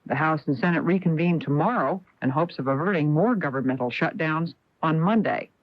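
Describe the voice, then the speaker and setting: A man's voice: fairly high pitch, fairly quick, dry recording. An American man reading a scripted newscast as a radio anchor. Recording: dull lo-fi vintage AM radio.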